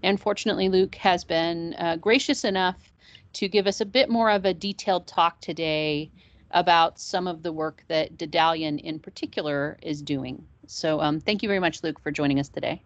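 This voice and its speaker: A woman's voice: fairly low pitch, steady tone, normal speed, no room sound. A woman moderating a webinar who introduces the speaker politely. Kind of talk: formal introduction. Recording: dry, muffled call audio from off-mic.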